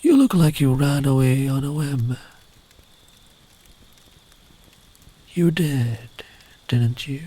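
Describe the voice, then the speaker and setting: A man playing a big, kind traveller in the woods in an ASMR comfort roleplay, speaking gently and soothingly, often close to a whisper. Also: medium pitch, measured pace. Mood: caring. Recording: clear background ambient noise.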